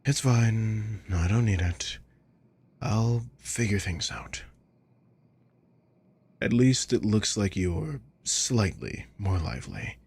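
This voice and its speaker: Sadistic male villain acted by a man, ASMR roleplay. Deep voice, unhurried delivery, cool menace under mock care. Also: lively intonation, a little mellow, exaggerated voice. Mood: exasperated, focused. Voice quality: breathy, husky. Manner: slow, deliberate, taunting. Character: sadistic male villain, coolly menacing, mockingly caring captor. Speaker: audio roleplay voice actor.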